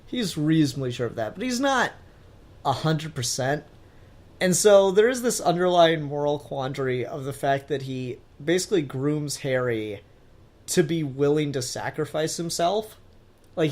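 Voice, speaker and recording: Podcast co-host, medium-pitched voice, casual fiction discussion, analytical, conversational. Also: darkish tone.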